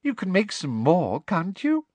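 A man voicing a furious middle-aged witch headmistress in a high, sweetly girlish voice that rises to shrieks. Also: wildly swooping pitch, measured pace, a little mellow, dry recording, lo-fi sound, exaggerated voice. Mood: sugary.

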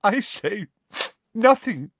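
A melodramatic female seer from a storybook, acted out by a man, pitched far above a normal male voice. The voice wavers and throbs with wounded dignity. It swoops hysterically through theatrical, drawn-out phrases in a dry recording. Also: expressive, husky, dry recording, exaggerated voice. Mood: choked, indignant.

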